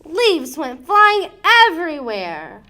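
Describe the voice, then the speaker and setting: A woman narrating a children's storybook as an expressive read-aloud, with dramatic, deliberate emphasis. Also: very high-pitched.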